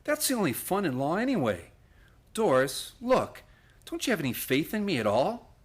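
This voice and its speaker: An actor playing an earnest, idealistic lawyer in a radio play, with a pleading manner. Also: male voice, on the high side, wildly swooping pitch, moderate pace, slightly rough, exaggerated voice. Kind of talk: stage-like radio play acting.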